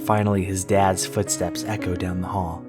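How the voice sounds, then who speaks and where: A man with a low voice reads a first-person horror story. His delivery is deliberate and measured, like a storyteller. Plucked guitar music plays clearly behind him. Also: level tone. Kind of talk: scripted first-person narration.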